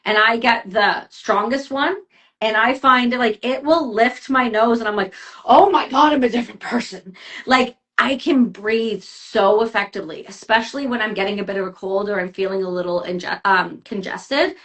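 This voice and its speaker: Bright-voiced woman giving casual health advice. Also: a little high. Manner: chatty, advice-giving, quick asides. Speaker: health coach. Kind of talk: casual podcast chat.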